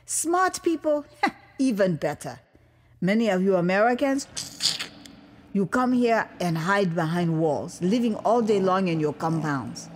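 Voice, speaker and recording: A woman's voice: mid-range voice, very animated, measured pace. An earnest, dignified Liberian official in an audio drama, acted out by a woman. He speaks formally and emphatically with a Liberian accent and widely swinging intonation, over noticeable background street noise. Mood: kindly, urging. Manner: emphatic, repetitive, addressing listeners formally. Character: earnest, proud Liberian government official, well-dressed man.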